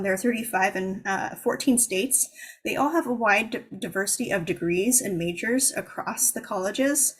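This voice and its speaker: A woman giving a webinar presentation, walking through slides with a plain, mid-pitched voice. She hesitates constantly, filling gaps with "um" as she lays out the facts. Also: a bit bright, slightly rough. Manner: halting, lots of ums, informative. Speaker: presenter for the organizing fund.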